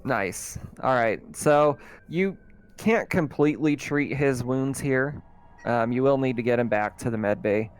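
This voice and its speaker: A man running a tabletop roleplaying game as game master, narrating in a conversational, halting way. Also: mid-range voice, clean tone. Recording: music playing behind the voice. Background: background music.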